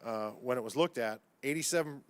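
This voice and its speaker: A man's voice: medium pitch, fairly quick. A man speaking as a congressman at a hearing, questioning witnesses in a pointed, combative and folksy way. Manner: folksy, combative, uses y'all.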